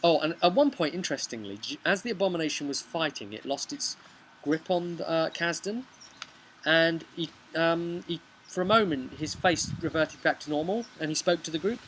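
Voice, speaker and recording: A man's voice: a little high, moderate pace, a bit bright. A man, casually retelling a tabletop role-playing session as game master, recorded outdoors on a camera mic. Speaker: game master recapping his campaign. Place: outdoors on a bench beside a brick house. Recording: noisy outdoor home video recording.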